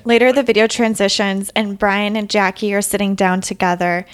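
A woman's voice: mid-range voice, flat delivery, moderate pace, faint music behind. A woman hosting a podcast, telling a story in scripted narration with a conversational turn. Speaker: podcast host.

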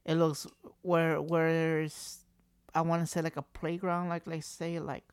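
A man's voice: on the high side, flat delivery, measured pace, clean tone, no room sound. A man in his thirties telling a personal story for his video series in a candid, rambling way, often saying "trust me" and sometimes cursing. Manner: rambling and frank, leaning on "trust me" and "like"; occasional mild cursing. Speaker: vlogger. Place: indoors at home, construction noise nearby.

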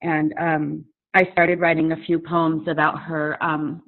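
A woman in her forties speaks in a slightly low voice, thoughtful and candid, halting now and then as she gathers her words. Her sound comes through a narrow, phone-like video call line. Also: little pitch movement. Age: 40s. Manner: candid, reflective, halting with fillers. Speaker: poet and author guest. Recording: tinny phone-like audio over a video call.

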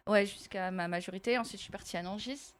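A woman's voice: medium pitch, fast talker. A young woman from the Paris region answering questions casually, in a hedging, self-deprecating way. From France, Paris region. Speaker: audience member being questioned. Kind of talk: casual chat.

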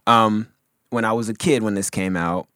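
A man's voice: lowish voice, moderate pace, fairly bright tone, no echo, studio quality. A man co-hosting a casual podcast, rambling with lots of fillers and frequent laughter. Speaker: podcast co-host. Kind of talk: casual chat about hip hop.

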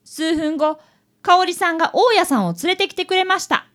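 A woman playing an excitable, timid boy of about eleven, a detective's apprentice. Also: very high voice, moderate pace. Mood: earnest. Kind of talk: radio drama acting and narration.

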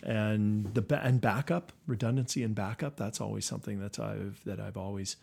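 A middle-aged man with a deep, somewhat husky voice chats as an interview guest. His delivery is casual and thoughtful, with halting phrasing. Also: fairly even intonation, a little mellow, faint music behind. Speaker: podcast guest, company CEO.

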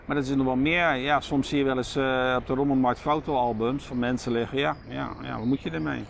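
A man's voice: medium pitch, steady tone, fairly quick, creaky. Dutch man chatting, noisy background. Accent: Netherlands Dutch. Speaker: podcast co-host. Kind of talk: casual chat.

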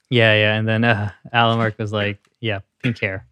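A man with a deep voice chatting with enthusiasm, with lots of fillers. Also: little pitch movement, moderate pace, bright, forceful tone, smooth. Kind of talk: casual reaction chat.

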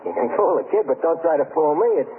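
A cynical but soft-hearted street tough played by a man, slangy and rather high-voiced, swinging widely in pitch. It is 1940s radio drama, muffled and thin, with music underneath. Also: animated, fast, a little hiss or hum, close to an everyday voice. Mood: bewildered. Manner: slangy, ungrammatical working-class speech. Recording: muffled old radio broadcast, music behind.

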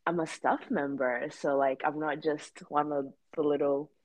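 A woman's voice: low-pitched, steady tone, a little slow, no echo, low-quality recording. A woman in her twenties from Rwanda, co-hosting a casual podcast chat and rambling with many fillers and restarts. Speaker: podcast co-host.

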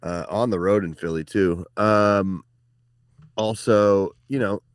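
A man's voice: on the low side, slow pace, smooth, dry recording, clean studio sound. A man co-hosting a casual sports podcast, talking in an easygoing, chatty way with frequent fillers. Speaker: podcast co-host.

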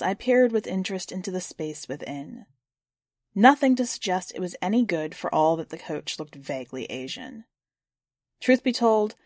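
A woman's voice: mid-range voice, darkish tone, no room sound, studio quality. Woman reading a short story aloud. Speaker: podcast host and story reader.

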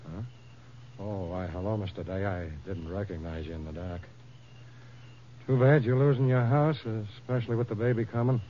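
A man's voice: on the low side, moderate pace, close to an everyday voice. An actor playing a chatty, astonished beat policeman in plain-spoken 1950s radio drama style. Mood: curious, neighborly. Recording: dull, slightly noisy vintage radio recording.

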